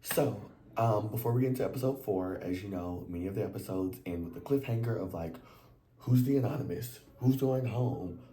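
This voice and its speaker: Low-voiced chatty recap host. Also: male voice, fairly quick, roomy sound. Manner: animated and chatty, slangy, leaps into high exclamations. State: voice a little worn. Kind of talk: casual chat to camera.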